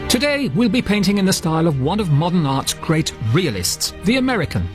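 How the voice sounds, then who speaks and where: A man narrates a scripted voice-over, measured and deliberate, with a slightly high, lively voice. Music plays clearly beneath him. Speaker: narrator and art teacher.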